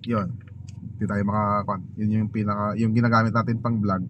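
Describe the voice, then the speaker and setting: A man with a Filipino accent chatting casually as a motovlogger unboxing gear, informal and halting as he searches for words. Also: fairly low pitch, level tone, highs cut off. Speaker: motovlogger host. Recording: clearly noisy, vehicle and ambient noise.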